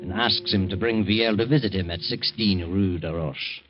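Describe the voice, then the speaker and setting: A man's voice: lowish voice, moderate pace, natural voice. A man performing as the grave, dramatic narrator of an old-time radio drama, on a dull vintage recording. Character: grave dramatic male storyteller. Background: loud dramatic music.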